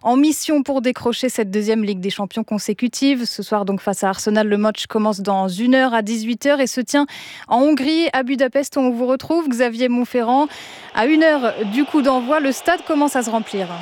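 A woman anchoring a radio news bulletin, reading in a crisp, measured way. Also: fairly high pitch, darkish tone, no echo, studio quality.

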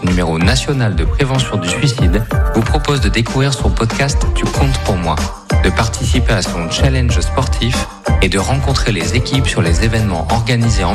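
A man reading a scripted public service announcement in a calm, informative way. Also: fairly low pitch.

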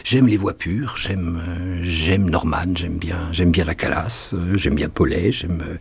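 A man's voice: low voice, measured pace, gravelly, breathy edge. A middle-aged man, a singer being interviewed on radio, talking in a hesitant way with many restarts and fillers. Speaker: guest singer.

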